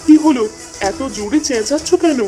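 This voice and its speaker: Cartoon village mother, female actor, fairly high but mellow voice, astonished, light music behind. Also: a little fast, close to an everyday voice. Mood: startled. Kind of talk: cartoon voice acting.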